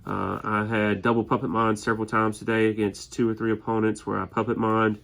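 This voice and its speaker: A man's voice: on the low side, flat delivery, a little fast, gravelly, fairly bright tone. A man with a slight head cold, sniffling a little as he casually walks through his winning card game deck with plenty of fillers and restarts. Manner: easygoing, many fillers and restarts. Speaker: tournament winner presenting his deck.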